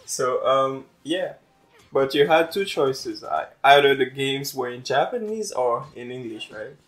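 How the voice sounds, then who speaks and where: Slow-talking man, French native speaker. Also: medium pitch, lively intonation, a bit bright. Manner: chatty, rambling, repeats words. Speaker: gaming channel host. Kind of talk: casual gameplay commentary.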